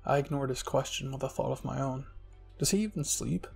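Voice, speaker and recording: A man narrating a scripted fan fiction story in a soft ASMR style as a channel host, with music behind him. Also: medium pitch, a little fast, vocal fry.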